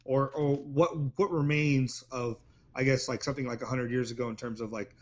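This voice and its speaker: A man as co-host asking a question, halting and searching for words. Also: mid-range voice, steady tone. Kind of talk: interviewing.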